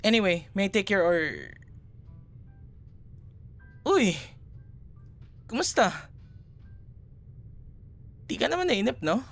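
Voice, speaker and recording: A man's voice: sits high, very animated, slow, gravelly, long pauses, fairly bright tone, faint music behind, full character voice. A Filipino man playing a chatty, teasing café worker in a casual, naturalistic boyfriend roleplay audio. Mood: apologetic, chatty, then playful, teasing. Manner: chatty, playful, casual conversational.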